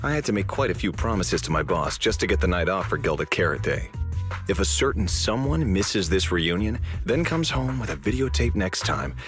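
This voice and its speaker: A man voicing a formal, dry male public security officer in an English game dub, speaking in a measured, politely pointed way. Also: sits low, very animated, fairly bright tone, soft background music. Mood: dryly teasing.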